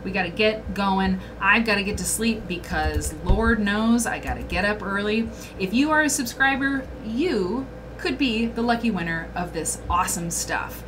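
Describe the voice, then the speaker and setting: A woman's voice: medium pitch, lively intonation, moderate pace, background noise. A woman hosting a casual livestream chat from a small room, reading viewer comments aloud and talking to them directly. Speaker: host.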